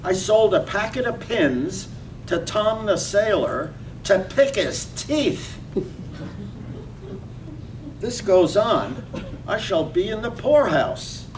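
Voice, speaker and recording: A man's voice: very animated, slow pace, pauses often, full character voice. An actor playing a lovesick, thrifty draper reading out his love letter earnestly in a staged radio drama. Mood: gloomy complaining. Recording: distant mic, faint rumbling noise.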